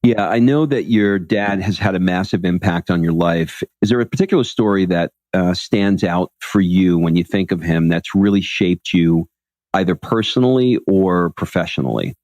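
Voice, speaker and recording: A man's voice: deep voice, normal speed, a little mellow, dry recording. A man hosting an interview podcast, speaking in an upbeat presenter style. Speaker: podcast host. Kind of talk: upbeat scripted introduction and interview.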